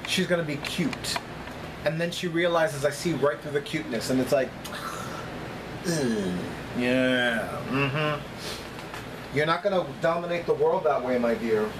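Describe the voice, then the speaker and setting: A middle-aged man talking casually to camera as a vlogger, with deliberate, emphatic phrasing and frequent repetition. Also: fairly high pitch, background noise. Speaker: vlogger, psychic reader. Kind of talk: casual chatty monologue to camera. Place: small apartment room by a kitchen, indoors.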